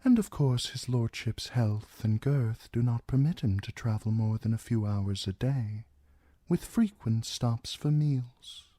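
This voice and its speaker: A man voicing a cold, soft-spoken older lord who murmurs everything mildly. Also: mid-range voice, unhurried, dry recording, studio quality, voice pushed up, close to an everyday voice. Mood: mild, cool.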